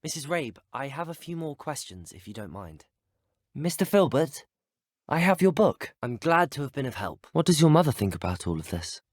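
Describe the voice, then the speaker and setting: An actor playing a polite, earnest teenage student wizard in a video game, with a British accent and courteous, articulate speech. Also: male voice, mid-range voice, big pitch swings, normal speed, dry recording, studio quality. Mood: hushed, polite, then inquisitive, courteous. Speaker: video game player character. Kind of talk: scripted game dialogue.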